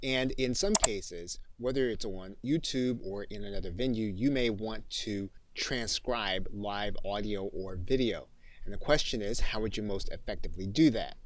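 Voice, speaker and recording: A man giving scripted tutorial narration as a software instructor, in a measured, formal, explanatory manner. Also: fairly low pitch, slight background noise.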